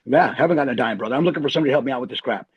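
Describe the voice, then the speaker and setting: A middle-aged man, an Army veteran, telling long rambling stories in an animated way as a podcast guest. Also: mid-range voice, very fast, husky, dry recording, muffled recording. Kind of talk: storytelling interview.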